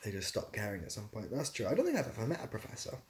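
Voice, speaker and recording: A man's voice: on the low side, wildly swooping pitch, very fast. A man chatting casually on a livestream, reading out questions and answering them in a rambling, self-deprecating way. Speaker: live streamer answering chat questions.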